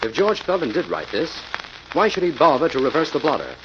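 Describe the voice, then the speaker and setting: A man playing a calm, authoritative detective in 1940s radio mystery style, with a brisk, measured, commanding delivery. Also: on the high side. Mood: intent, deducing. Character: calm, authoritative male detective solving a murder. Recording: muffled, noisy vintage radio recording.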